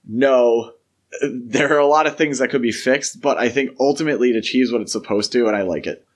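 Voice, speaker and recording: A man's voice: medium pitch, fairly quick. Casual man chatting as podcast co-host. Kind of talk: casual film discussion.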